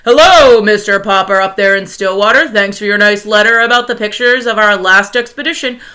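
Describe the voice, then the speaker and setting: A woman reading aloud and voicing a male explorer speaking loudly over the radio in a formal, announcing way. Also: mid-range voice, brisk, lightly acted. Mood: hearty.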